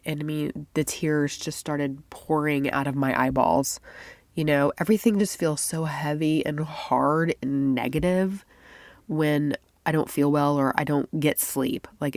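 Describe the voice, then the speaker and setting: A woman's voice: sits low, gravelly, no echo. A woman hosting a faith-based health podcast, talking in a chatty, confiding way with a stuffy, congested nose. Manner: chatty, confiding, laughs often. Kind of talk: casual podcast monologue.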